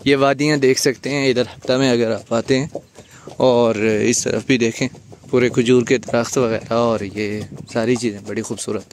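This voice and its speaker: A man's voice: medium pitch, fairly even intonation, moderate pace. A man in his thirties from Pakistan, chatting upbeat to a handheld camera as a travel vlogger. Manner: chatty, upbeat guide talking straight to camera. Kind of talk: casual travel vlog narration. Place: outdoors and in a car, on the move. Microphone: handheld camera at arm's length. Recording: noisy outdoor vlog sound.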